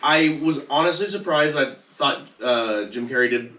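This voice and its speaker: A man's voice: medium pitch, moderate pace, bright, forceful tone. A man co-hosting a podcast in a rambling, casual, sweary chat style. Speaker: podcast co-host. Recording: roomy echo, distant mic.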